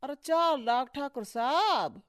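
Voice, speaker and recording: Worried cartoon villager man, voiced by a woman, pleading, slow and dry. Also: sits high, animated, no room sound.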